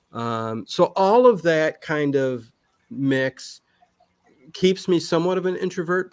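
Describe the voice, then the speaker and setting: A man's voice: mid-range voice, no echo. Long-winded man chatting, close dry sound. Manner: long-winded, halting with many self-interruptions. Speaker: guest, online show creator. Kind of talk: casual interview chat.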